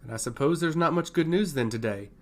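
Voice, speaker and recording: A man's voice: fairly high pitch, lively intonation, a little fast, lightly acted. A man voicing a proud, burdened island king in a fantasy story, blunt and commanding. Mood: wry, frustrated.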